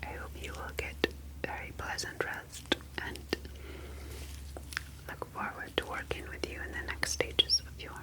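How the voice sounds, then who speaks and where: A woman playing a calm, clinical ocean alien scientist in an ASMR sci-fi roleplay, whispering in a soft, formal, reassuring manner. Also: deep voice, rough recording.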